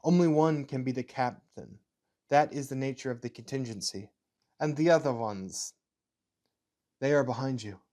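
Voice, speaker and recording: Casting: man playing earnest, calculating wartime scientist for a dark story, American accent, measured delivery. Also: medium pitch, lightly acted. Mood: matter-of-fact.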